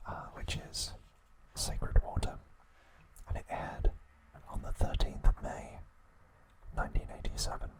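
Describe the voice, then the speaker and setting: A man whispers throughout in an ASMR style, with no full voice behind the words. He takes his time, moving very slowly through short phrases and leaving soft pauses between them, as a host sharing trivia. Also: rough recording.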